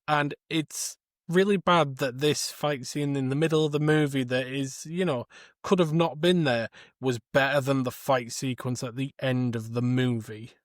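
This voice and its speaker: A man co-hosting a podcast in casual film chat, halting and repeating phrases as he argues. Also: medium pitch, steady tone, no room sound, clean studio sound. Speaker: podcast co-host.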